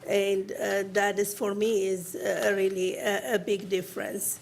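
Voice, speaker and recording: A woman from Africa and the Middle East speaks as an on a discussion panel. She talks very slowly, in halting phrases with many fillers, at a middling pitch. A faint noise runs underneath. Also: little pitch movement, vocal fry. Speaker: panel guest.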